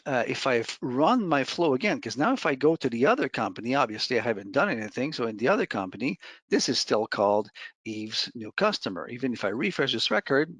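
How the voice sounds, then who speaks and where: A man walks through software on a shared screen in an informal, conversational manner. His voice sits at an average male pitch. He explains things step by step, and the recording is close and dry, with little room sound. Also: slightly rough, no room sound. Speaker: software demo presenter.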